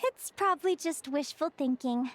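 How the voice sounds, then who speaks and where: High-voiced earnest young naturalist. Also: female voice, animated, moderate pace, slight background noise. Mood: concerned. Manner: articulate, explanatory, earnest. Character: young female naturalist, earnest, curious, gentle animal lover. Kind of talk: scripted video game character dialogue.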